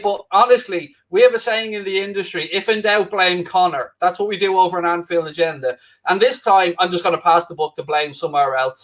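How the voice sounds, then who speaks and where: Cheeky Irish man with a high voice. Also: a bit bright. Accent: Irish, Dublin-Wicklow. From Ireland. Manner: cheeky, self-mocking banter. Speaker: fill-in host of a football watchalong. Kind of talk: casual live-stream chat reading viewer comments. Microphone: new microphone, close.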